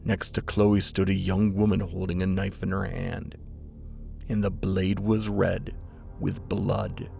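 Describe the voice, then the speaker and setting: A man narrates a dark drama with a low, very gravelly voice. He goes slowly and gravely, weighing each phrase, with music playing underneath. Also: level tone. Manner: slow, grave, deliberate. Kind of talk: scripted dramatic story narration.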